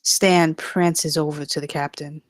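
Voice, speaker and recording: A woman's voice: deep voice, fairly even intonation, unhurried, dry recording. A woman narrating the stage directions of a comedy screenplay at a table read.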